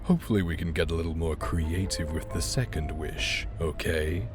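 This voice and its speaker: A very low-voiced, sly wish granter from an audio horror drama. He is courteous and formal, with the polish of a slick salesman. Prominent music plays under his voice. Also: normal speed. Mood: sly, patronizing.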